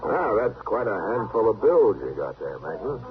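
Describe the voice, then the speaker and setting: An American man acting a steady, dryly amused frontier marshal in an old-time western radio drama, unhurried and a little hesitant. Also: fairly low pitch. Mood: dryly probing. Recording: muffled, noisy vintage radio recording.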